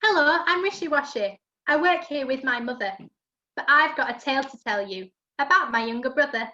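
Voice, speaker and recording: A woman's voice: sits high, lively intonation, fairly quick, sharp tone. A woman playing a chatty, good-natured young laundry lad who narrates a rhyming pantomime, on a lo-fi recording. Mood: chatty, cheerful. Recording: dull lo-fi sound.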